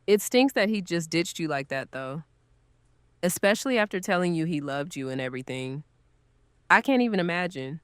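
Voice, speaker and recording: A woman's voice: fairly low pitch, lively intonation, normal speed, clear, resonant tone, no echo, clean studio sound, natural voice. A woman playing a kind, earnest newcomer's host in an audio drama, speaking casually and sympathetically. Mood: sympathetic. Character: kind, grateful woman welcoming a newcomer, gently guilty.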